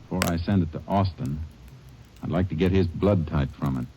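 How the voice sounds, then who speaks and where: A man plays a steady Texas Ranger in a vintage radio drama, his voice very deep. He questions people in a clipped, low-key way. The recording is dull and lo-fi with clear hiss. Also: fairly quick, lightly acted. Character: calm, dogged male Texas Ranger. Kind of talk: 1940s radio acting.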